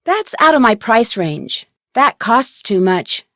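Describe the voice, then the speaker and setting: Video game lead: inquisitive young American woman sleuth, plucky, conversational, crisp, higher register, dry studio sound. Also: big pitch swings, slow pace, dry recording. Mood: matter-of-fact. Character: plucky, inquisitive young female amateur detective. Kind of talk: scripted video game voice acting.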